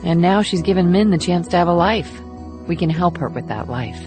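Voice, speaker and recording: Low-voiced, earnest woman operative played by an actress. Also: lively intonation, brisk, a little mellow, faint music behind, close to an everyday voice. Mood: somber, reflective. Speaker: audiobook performer. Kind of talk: dramatic audiobook dialogue.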